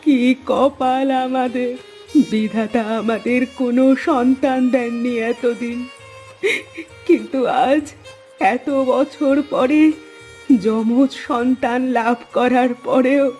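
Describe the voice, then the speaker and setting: Cartoon mother, female performer: plaintive, resigned, sorrowful, unhurried delivery over soft music. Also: a little high, fairly even intonation. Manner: plaintive, lamenting. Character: heartbroken mother of newborn twins, resigned. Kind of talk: melodramatic cartoon voice acting.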